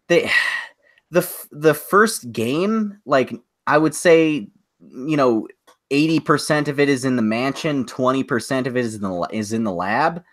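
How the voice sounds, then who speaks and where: Guy on a podcast, casual ranty chat, kinda animated, normal pitch, no room sound. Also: a little slow, no echo, clean studio sound. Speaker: podcast co-host. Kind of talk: casual opinionated chat about movies and games.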